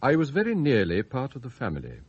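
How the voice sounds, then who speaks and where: Eloquent scheming English butler, wildly expressive. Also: male voice, medium pitch, quick pace, highs cut off, slight background noise. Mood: deferential, restrained. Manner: theatrical, articulate, formal, given to rhetorical flourishes. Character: eloquent, scheming male butler, sardonic and idealistic. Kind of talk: radio drama acting.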